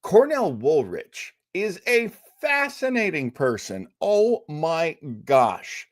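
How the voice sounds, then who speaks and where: A man's voice: a little high, wildly swooping pitch, slow pace, dry recording, clean studio sound. A man hosting a show who chats casually and with enthusiasm as he introduces a radio drama, with many fillers and asides.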